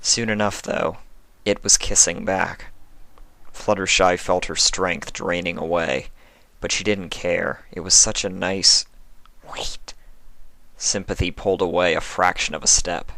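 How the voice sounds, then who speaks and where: A man with a low voice narrates a written story. He is recorded close and dry, reading from a script as the storyteller. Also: fairly even intonation, a little slow, creaky, bright, forceful tone, dry recording. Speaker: narrator, fan fiction reader.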